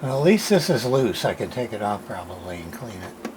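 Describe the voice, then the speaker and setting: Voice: mid-range voice, very animated, brisk, a little mellow. A person giving casual, hands-on unboxing commentary as a repair video host, muttering to themselves in short, fragmented remarks. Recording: noisy room with clear background noise.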